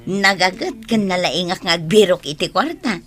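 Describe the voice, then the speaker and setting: An actress playing a flirtatious, gossiping friend with a Filipino Ilocano accent, teasing and forward in a conversational radio drama. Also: female voice, on the low side, normal speed, a bit bright, close to an everyday voice.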